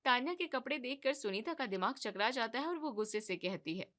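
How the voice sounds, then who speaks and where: A woman narrating a scripted cartoon moral story in a clear, even storytelling manner. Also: on the high side, a little fast.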